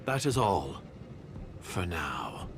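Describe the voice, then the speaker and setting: Male game villain: calculating covert agent, deep voice, expressive swings, formal menace, music underneath. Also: drawn-out words, noisy background, heavily acted. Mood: cold, guarded. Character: cold, secretive foreign agent, calculating villain. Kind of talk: video game voice acting.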